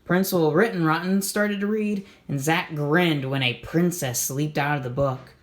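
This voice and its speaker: An expressive storybook reader doing an animated read-aloud for children, explaining and asking questions along the way. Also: medium pitch, normal speed.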